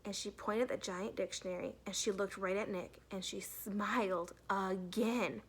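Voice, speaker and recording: A woman's voice: medium pitch, fairly even intonation, measured pace, husky. A woman narrating a children's storybook expressively, like a teacher reading aloud to a class.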